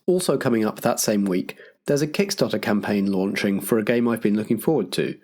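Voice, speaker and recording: A man's voice: lowish voice, brisk, a little mellow. Man hosting with scripted announcements. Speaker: podcast host and compere.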